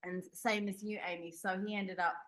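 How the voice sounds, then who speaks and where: A woman's voice: medium pitch, steady tone, normal speed, fairly bright tone. A young adult woman from the United Kingdom, a guest telling a personal story in an interview, candid and rambling with many fillers and restarts. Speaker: podcast guest.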